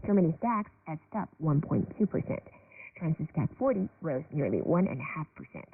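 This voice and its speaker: A woman's voice: low-pitched, sing-song, normal speed. A woman reading a scripted business news bulletin as a presenter, heard on shortwave radio. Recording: noisy, narrowband shortwave radio broadcast.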